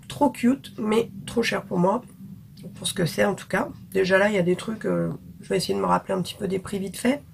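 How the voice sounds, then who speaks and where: A woman talks to her viewers in a relaxed, chatty vlog manner, full of fillers and frank slang. Her voice is husky and hoarse from illness, with a gravelly, creaky edge, sitting at a middle pitch. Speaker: beauty vlogger. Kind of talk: casual haul chat to camera.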